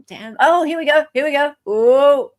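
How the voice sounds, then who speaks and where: An Australian woman hosting a casual live show, excitable and muttering to herself as she searches through documents. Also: high-pitched, normal speed. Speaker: podcast host. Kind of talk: casual live chat while reading documents.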